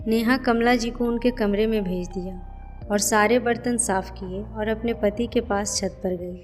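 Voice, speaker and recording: A woman's voice: on the high side, steady tone, unhurried, over music. A woman narrating a scripted moral family story.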